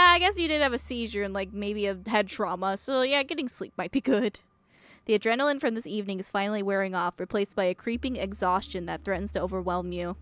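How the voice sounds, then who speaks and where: A woman streamer reading a game aloud and reacting to it in an expressive, emotional way. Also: mid-range voice, no echo.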